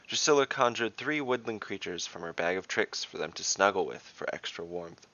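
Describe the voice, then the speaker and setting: A man reading scripted fantasy narration as a podcast storyteller, in a measured, dramatic way. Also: low-pitched, gravelly, a bit bright, no echo, lo-fi sound. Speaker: storyteller and dungeon master, podcast host.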